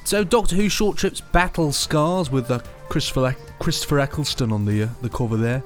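A man's voice: medium pitch, fairly quick, soft background music. A man hosting a podcast, chatty and playful as he presents news and reads out blurbs. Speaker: podcast host.

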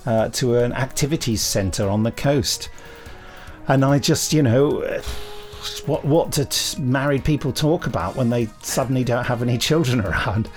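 A man's voice: mid-range voice, moderate pace, a little mellow, soft background music. A middle-aged man hosting a podcast in a casual, jokey, self-deprecating way. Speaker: podcast host. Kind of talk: casual comic chat.

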